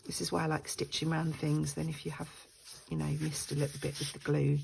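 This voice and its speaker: An older woman talks through her junk journal crafting at her desk at home, chatting and thinking aloud as she works. Her voice is croaky and deeply gravelly, with a touch of faint noise behind her. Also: level tone, fairly quick, darkish tone, poor audio. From United Kingdom.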